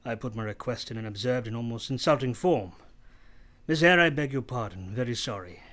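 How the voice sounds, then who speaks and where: An actor playing a brusque, teasing, moody gentleman master of the house in a radio play, imperious and halting. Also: mid-range voice, natural voice. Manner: imperious, abrupt, probing, halting delivery.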